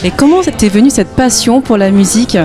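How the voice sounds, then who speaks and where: A woman's voice: a little high, expressive, fairly quick, music in the background. A woman interviewing for local TV at a concert, asking upbeat, direct questions. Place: concert venue. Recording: crowd chatter at a concert.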